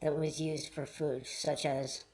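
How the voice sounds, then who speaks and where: A man reading scripted Bible storybook narration in a measured, earnest manner. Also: on the high side, level tone, a little mellow.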